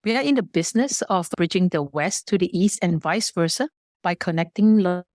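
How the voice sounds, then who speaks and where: Female business guest from Malaysia, lowish voice, formal explanatory delivery, dry close sound. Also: lively intonation, normal speed, darkish tone, no echo. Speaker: podcast guest, business partner and founder. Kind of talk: prepared, explanatory interview answers.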